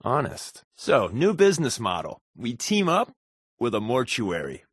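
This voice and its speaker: A sardonic American secret agent, a sly fibber from a video game. His voice is slightly rough and husky, recorded very dry, and his pitch moves around a lot as he tosses off casual, deadpan quips. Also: unhurried, no echo, full character voice. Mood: wry, joking. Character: wisecracking, sly adult male undercover agent, habitual fibber. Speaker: companion character in a video game.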